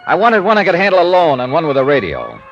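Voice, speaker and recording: A man's voice: on the high side, wildly swooping pitch, fast, a bit bright, muffled recording, soft background music, full character voice. An American man playing a hard-boiled, wry investigator in a vintage radio drama, with a clipped, dry way of talking. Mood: matter-of-fact narration. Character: hard-boiled, wry American male insurance investigator narrating his case. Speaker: lead actor and narrator. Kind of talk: old-time radio detective acting. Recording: noisy vintage radio recording.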